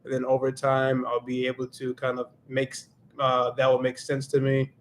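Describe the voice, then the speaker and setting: A man's voice: mid-range voice, completely flat delivery, normal speed, a little hiss or hum. A man hosting a casual livestream, thinking aloud in a reflective way and slipping into Spanish now and then. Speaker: livestream host and Spanish learner.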